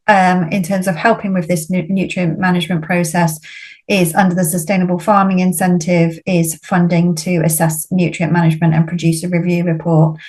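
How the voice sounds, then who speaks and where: A woman gives a webinar talk over a video call. Her voice is lowish and flat. She pauses often and fills the gaps with ums. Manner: halting, many ums. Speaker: webinar speaker. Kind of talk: presenting in a webinar.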